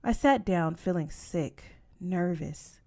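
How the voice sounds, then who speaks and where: A woman's voice: fairly low pitch, takes it slowly, dark tone. Woman reading a story aloud. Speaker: audiobook reader. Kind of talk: expressive first-person story narration.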